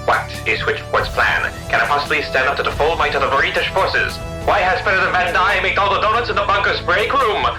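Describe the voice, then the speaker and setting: A man's voice: high-pitched, fairly quick, few pauses, close to an everyday voice. A man playing a mock-dramatic cliffhanger announcer for a radio serial, declaiming in a roomy echo over music.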